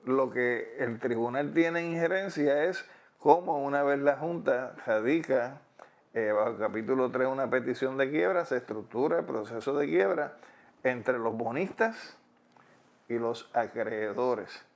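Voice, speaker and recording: A man answering interview questions in long, deliberate, argued sentences. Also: mid-range voice, expressive. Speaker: interviewee on fiscal policy. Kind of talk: measured explanatory interview talk.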